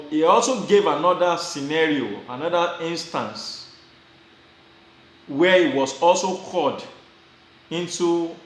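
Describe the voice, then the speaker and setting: Nigerian man in an echoey room. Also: a little high. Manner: repeats and restarts phrases, chuckles while telling. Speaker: vlogger giving advice. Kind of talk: casual advice talk to camera.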